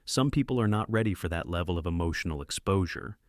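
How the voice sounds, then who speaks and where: A man reading scripted explainer narration in a measured, deliberate way. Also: deep voice, expressive, no room sound, studio quality.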